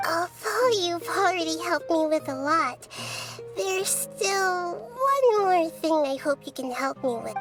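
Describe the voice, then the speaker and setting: A woman voicing a wise, gentle young girl deity in a video game, measured, polite and thoughtful. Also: very high-pitched, expressive, music in the background, heavily acted. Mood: gentle, musing. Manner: measured, polite, musing in plant similes.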